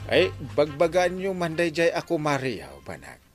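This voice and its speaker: A man's voice: fairly high pitch, wildly swooping pitch, normal speed, over loud music. A man playing an exasperated village captain scolding people in an Ilocano radio comedy drama.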